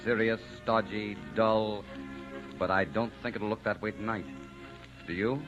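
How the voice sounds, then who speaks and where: An actor as a suave low-voiced romancer, old radio. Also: male voice, fairly even intonation, measured pace, natural voice. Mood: playful, bold. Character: bold, flirtatious gentleman romancer, suave and teasing. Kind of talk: old-time radio drama acting. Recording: dull old radio recording, music behind.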